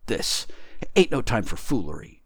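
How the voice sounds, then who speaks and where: A man's voice: mid-range voice, sing-song, moderate pace, fairly bright tone, no echo, voice pushed up. A man voicing a bewildered, indignant tramp in a storybook, stubborn and blustering, with coarse colloquial speech. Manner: colloquial dropped grammar, blustering. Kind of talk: acted audiobook storytelling.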